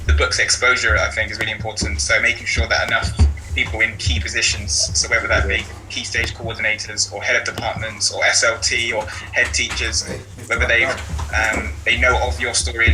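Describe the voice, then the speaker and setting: A man speaking as a teacher guest in a casual podcast discussion, explaining earnestly and haltingly, often repeating phrases. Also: medium pitch. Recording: faint background noise.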